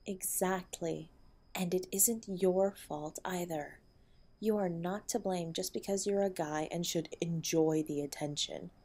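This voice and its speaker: A woman playing a protective, loving wife in an intimate audio roleplay, with a breathy voice. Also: on the low side, fairly even intonation, unhurried, warm tone. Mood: gently reassuring. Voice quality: breathy, often dropping to a whisper. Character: protective loving wife comforting and defending her husband.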